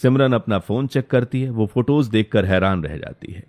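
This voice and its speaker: A man's voice: on the low side, normal speed, a little mellow. A man narrating a scripted story as its storyteller.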